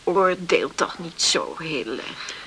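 An actress in a radio play playing a gentle middle-aged mother and wife, speaking in a soft, tender, pleading way. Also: sits low, lively intonation, takes it slowly, husky, exaggerated voice, low-quality recording. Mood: pleading, worried. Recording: hissy old radio recording.

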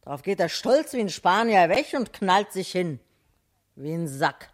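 Cheeky slangy storyteller, mid-pitched, mocking and disdainful, for vintage radio comedy. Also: sing-song, measured pace. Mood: cheeky, scornful. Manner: colloquial, mocking. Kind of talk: 1960s radio drama, colloquial storytelling.

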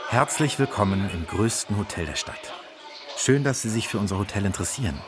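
A man's voice: lowish voice, expressive, fairly quick, natural voice. A man playing a courteous hotel page who formally narrates a radio play as a guide for guests. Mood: polite welcome.

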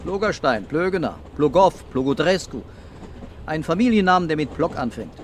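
An actor playing a brooding, terse police commissioner in a German radio crime drama. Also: male voice, on the high side, sing-song, moderate pace, slight background noise. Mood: brisk, urgent. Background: music and vehicle ambience.

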